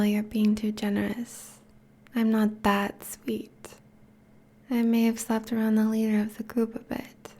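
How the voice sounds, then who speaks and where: A woman playing a teasing, affectionate vampire girlfriend in an intimate ASMR roleplay, speaking softly in a breathy, whispery voice. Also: on the high side, exaggerated voice. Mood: teasing, sly. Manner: soft, teasing, languid.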